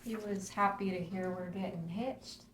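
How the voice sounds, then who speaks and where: A woman's voice: medium pitch, steady tone, moderate pace, natural voice. A woman reading an earnest grieving daughter. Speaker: actor in a table read. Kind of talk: screenplay table read. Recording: roomy echo, faint hum.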